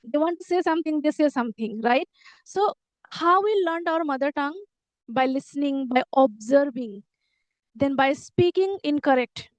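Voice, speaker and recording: Earnest high-voiced woman teacher. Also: expressive, moderate pace, dry recording, poor audio. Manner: earnest, repeats herself, small slips. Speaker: English teacher. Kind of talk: conversational English lesson dialogue.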